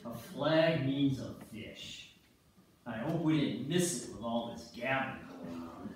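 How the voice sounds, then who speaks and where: Man playing a slow-talking grumbling fisherman, echoey. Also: mid-range voice, lively intonation. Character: grumbling, cautious ice-fishing husband. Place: church sanctuary, live stage reading. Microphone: distant audience camera. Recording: noisy echoey church hall from far off.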